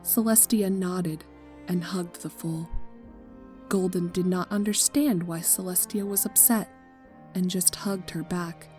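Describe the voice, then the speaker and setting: A woman reading a tragic fan fiction story aloud in a somber, slow narration that drops to near-whispers. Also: fairly low pitch, steady tone. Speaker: fanfic reader. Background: soft guitar music.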